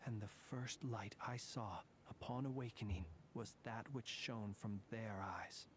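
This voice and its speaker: A man voicing an ancient male fae in human guise in a video game, calm and formal, who sometimes drops to near whispers. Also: on the low side, a little slow, a little hiss or hum, natural voice. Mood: solemn. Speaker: lightkeeper guard.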